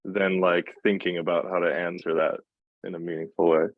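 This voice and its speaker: Male meeting participant, very deep, casual and a bit hesitant, close dry muffled audio. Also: little pitch movement, a little fast, no echo. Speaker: interviewer in training meeting.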